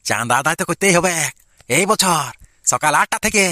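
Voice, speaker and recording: A man's voice: on the high side, animated, a little fast, sharp tone, no echo, studio quality. A man playing a brash, boastful older village lad in an amateur cartoon, with a Purulia Bengali accent. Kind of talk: amateur cartoon comedy dialogue.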